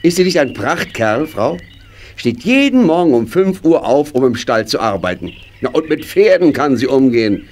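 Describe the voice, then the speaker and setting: Man as a jovial, lively middle-aged farm husband. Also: medium pitch, normal speed, lightly acted. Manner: jovial, blustery, emphatic. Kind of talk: German children's audio play acting. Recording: noisy vintage audio play recording.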